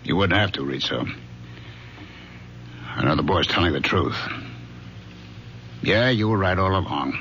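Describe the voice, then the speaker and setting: A seasoned, middle-aged cop in an old radio play. He is gruff but fatherly, with a bright, firm edge, on a muffled recording with faint noise. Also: sits low, lively intonation, measured pace, pauses often. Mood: firm, knowing. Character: seasoned, world-weary police lieutenant, gruff but fatherly. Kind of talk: 1970s radio drama acting. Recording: dull, hissy old radio broadcast.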